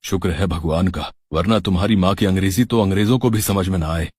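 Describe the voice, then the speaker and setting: A man voicing a good-humoured middle-aged Indian husband and father in a cartoon story, husky, coaxing and wry. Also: low voice, brisk, darkish tone, dry recording, studio quality.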